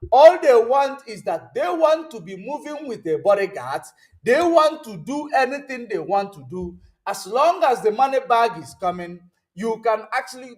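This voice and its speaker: Slow, high-voiced man talking politics. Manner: rambling, searches aloud for words with many ums; answers viewer comments mid-talk. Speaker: host. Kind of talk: political commentary livestream.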